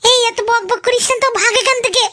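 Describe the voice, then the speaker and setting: Man putting on a shrill, stern cartoon mother. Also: steady tone, quick pace, pushed and bright, no room sound. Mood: stern scolding. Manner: nagging, scolding. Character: stern, scolding Bengali mother of a grown son. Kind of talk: comedy cartoon dialogue.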